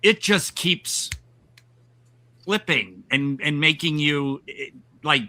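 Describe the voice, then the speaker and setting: Bright-voiced man hosting a film podcast. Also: fairly high pitch, slow, no room sound. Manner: enthusiastic, hesitant with fillers. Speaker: podcast host. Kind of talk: casual film discussion.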